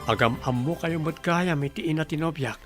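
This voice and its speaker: A man's voice: a little high, normal speed, over loud music, natural voice. A man acting an earnest, respectful young man in an Ilocano radio drama. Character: earnest young man devoted to his girlfriend, respectful to elders.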